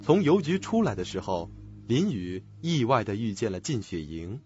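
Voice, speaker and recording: A man's voice: fairly low pitch, very animated, moderate pace, warm tone, husky. A man narrating a suspense radio drama from a script. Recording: dull lo-fi recording. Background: music.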